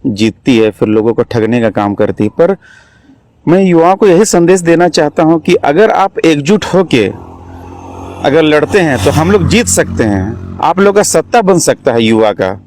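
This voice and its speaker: A man's voice: a little high, lively intonation, moderate pace, a little mellow, slight background noise. A young man from Jharkhand with a Jharkhand Hindi accent, answering interview questions as an election candidate in an earnest, exhorting manner. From Jharkhand, India. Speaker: election candidate, political activist.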